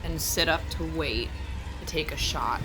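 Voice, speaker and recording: A woman's voice: deep voice, a little slow, low-quality recording. A woman talking casually as a player in a tabletop game, describing her moves. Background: clear music.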